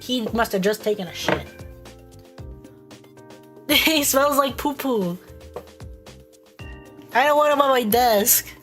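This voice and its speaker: A woman's voice: fairly high pitch, takes it slowly, pauses often, a bit bright, soft background music. A woman streamer with a stuffy, congested voice, reading a visual novel aloud and breaking off to joke with her chat. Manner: playful, reads dialogue aloud and breaks off to joke.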